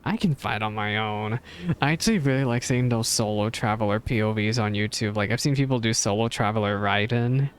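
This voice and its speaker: A man's voice: fairly low pitch, fairly quick, slight background noise. A man giving casual gameplay reaction commentary as a streamer, chatting in rambling asides.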